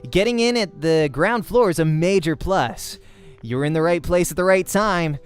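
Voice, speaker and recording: A man voicing a cocky, jokey young male pro gamer in a visual novel, with a breezy, teasing, animated delivery. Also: fairly high pitch, normal speed, faint music behind, close to an everyday voice.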